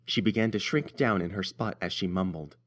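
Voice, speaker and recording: A man's voice: low-pitched, brisk. A man reading scripted story narration as the narrator.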